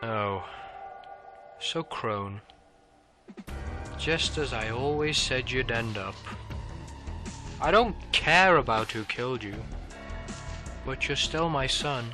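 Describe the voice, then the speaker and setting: A man acting a cold, contemptuous, domineering rich father in an animated drama, with clipped delivery. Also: mid-range voice, slow pace, long pauses, music in the background. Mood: cold, bitter.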